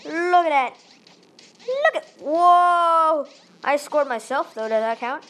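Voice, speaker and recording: A woman from Malaysia with a Malaysian accent, giving excitable let's-play commentary into a tablet microphone held up to her face, yelping and pleading at the game. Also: sits high, slow pace. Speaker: gaming channel host.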